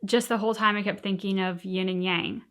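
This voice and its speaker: A woman's voice: medium pitch, fairly even intonation, brisk, a bit bright, clean tone. A woman co-hosting a reaction show, talking casually and reflectively in an earnest, thinking-aloud manner.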